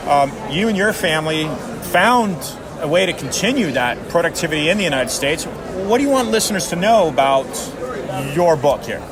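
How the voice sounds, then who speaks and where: Male interview host, hesitant and halting, slightly high voice, crowd audible behind. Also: animated. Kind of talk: interview hosting.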